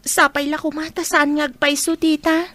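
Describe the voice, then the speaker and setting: A woman's voice: high-pitched, level tone, moderate pace, a bit bright. A woman playing an earnest, kindly young female student in a radio drama. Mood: worried.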